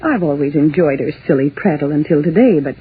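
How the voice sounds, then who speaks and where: An actress playing a poised, coaxing society wife in a 1940s radio drama, polished, airy and teasing. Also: female voice, deep voice, lively intonation, brisk. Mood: breezy, dismissive. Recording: muffled, noisy 1940s radio recording.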